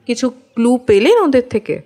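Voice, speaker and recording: A woman's voice: fairly high pitch, sing-song, moderate pace, mellow. A woman playing a cool, dismissive lady of the house who is easily annoyed, in an audio detective drama. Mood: inquiring. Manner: indifferent, clipped, dismissive. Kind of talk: acted dialogue in Bengali audio detective drama.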